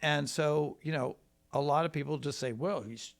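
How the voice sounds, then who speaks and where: An American man from Wisconsin, a trial lawyer telling his story in a casual, rambling, folksy and halting way. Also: on the high side, level tone, gravelly. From Wisconsin, United States.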